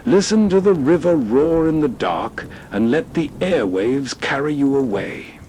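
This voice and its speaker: A man's voice: medium pitch, lively intonation, unhurried, warm tone, a little hiss or hum. A man hosting with atmospheric scripted narration, in a florid, inviting storyteller's way. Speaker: host and narrator.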